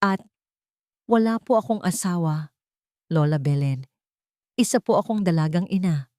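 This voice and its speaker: A woman voicing a humble young single mother in an audio story, respectful and sorrowful, confessing in a halting, pained way. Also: on the low side, darkish tone, dry recording, clean studio sound, natural voice. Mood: hesitant, sad.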